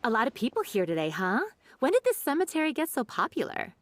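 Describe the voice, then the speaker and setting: Lively, gossipy townswoman. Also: on the high side, fast talker. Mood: curious. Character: gossipy, skeptical townswoman, teasing. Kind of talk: video game character acting. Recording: music behind the voice.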